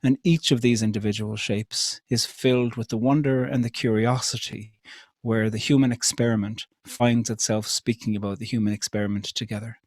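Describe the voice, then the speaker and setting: A man's voice: medium pitch, level tone, no room sound. Reflective Irish man, dry close sound. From Ireland. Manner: measured, thoughtful, many rhetorical questions and soft hesitations. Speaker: speaker at an interfaith event. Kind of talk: reflective public talk.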